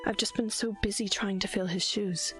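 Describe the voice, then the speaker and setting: A woman playing a caring, protective princess and older sister, with a measured, formal, soothing manner in an audio drama. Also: mid-range voice, fairly even intonation, close to an everyday voice. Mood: gentle, regretful. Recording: music bed behind the voice.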